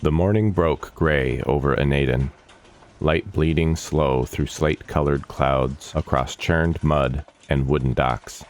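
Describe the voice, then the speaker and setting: A man narrating a scripted dark fantasy story in a measured, solemn and dramatic manner. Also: very deep voice. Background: background music.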